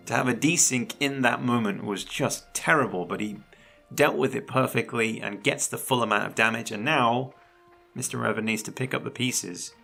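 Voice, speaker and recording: A man commentating a video game match live, chatty and analytical, his voice a little croaky. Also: medium pitch, sharp tone. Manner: chatty and analytical, laughs often. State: slightly croaky voice. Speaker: esports commentator.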